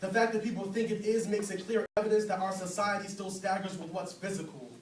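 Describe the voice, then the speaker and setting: A man's voice: high-pitched, fairly even intonation, fast talker. A man performing slam poetry as a spoken word poet, with a rhythmic, rhyming, declamatory delivery. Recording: roomy, distant mic, faint noise.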